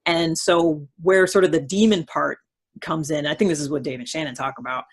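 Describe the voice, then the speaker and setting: Woman, husky slightly rough voice, interview host, conversational and informal delivery. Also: deep voice, steady tone, a little fast. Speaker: host of a personality-type dialogue series.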